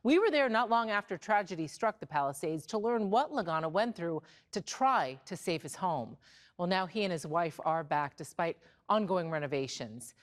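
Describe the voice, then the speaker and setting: Low-voiced American woman news anchor. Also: expressive, moderate pace. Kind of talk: scripted news introduction.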